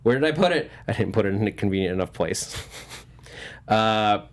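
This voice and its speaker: A man hosting a panel discussion, talking casually in a halting, wordy way and often backtracking as he explains. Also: fairly low pitch, lively intonation. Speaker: host of a movie news panel show.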